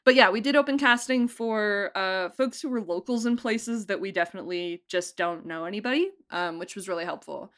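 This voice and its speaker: Young adult woman, chatty and giggly, casual interview guest, mid-pitched. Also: a little fast, hardly any pauses, fairly bright tone. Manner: chatty, laughs often, lots of fillers and restarts. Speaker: producer and director being interviewed.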